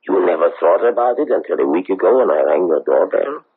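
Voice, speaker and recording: An actor playing a persistent, formal foreign Nazi hunter in a radio drama, coolly probing and insistent. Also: male voice, sits low, animated, fairly quick, husky, exaggerated voice. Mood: pressing, insistent. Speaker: investigator seeking a war criminal's extradition. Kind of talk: 1970s radio drama acting. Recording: tinny, band-limited old radio broadcast.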